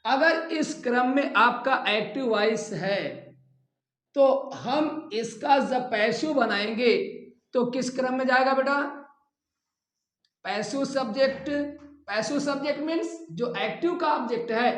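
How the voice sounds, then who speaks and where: Guy teaching grammar really slowly, repeats stuff for dictation, lots of room echo. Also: very high-pitched, a bit bright. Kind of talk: classroom grammar lesson. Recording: echoey, reverberant room.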